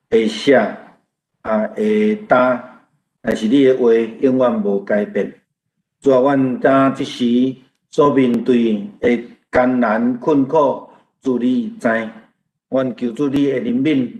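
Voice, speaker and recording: Taiwanese pastor praying in an echoing room. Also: male voice, medium pitch, a little mellow. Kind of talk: earnest spoken prayer. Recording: roomy, echoing sound.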